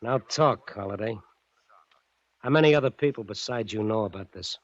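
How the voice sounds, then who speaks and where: A man's voice: fairly low pitch, moderate pace, dry recording, close to an everyday voice. An actor playing a hard, bullying gunman with an American accent in 1940s radio drama, barking curt orders. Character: hard, bullying male gunman holding captives. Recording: muffled, hissy old radio recording.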